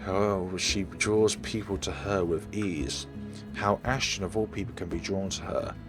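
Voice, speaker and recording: A man's voice: low-pitched, steady tone, a little slow, music in the background. A man narrating a visual novel aloud in a dramatic first-person style, now and then stumbling over words. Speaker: let's play host reading a visual novel aloud.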